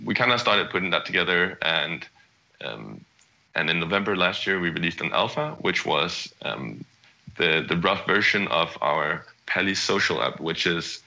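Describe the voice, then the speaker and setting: Young male startup founder from Denmark, low pitch, halting delivery, lo-fi recording. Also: bright, forceful tone. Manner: halting, often restarts phrases. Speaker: startup CEO guest. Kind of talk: conversational interview answer.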